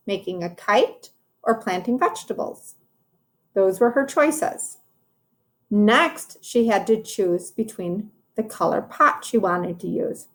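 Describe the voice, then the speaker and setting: A woman teacher reading a children's storybook aloud, explaining it directly to young listeners. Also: on the high side.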